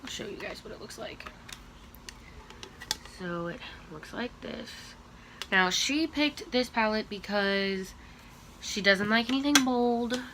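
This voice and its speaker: Slow-talking young woman with a sniffly voice. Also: medium pitch, background noise. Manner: slow, chatty, talking while applying makeup. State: sniffly from allergies. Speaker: beauty vlogger. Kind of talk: makeup tutorial talk.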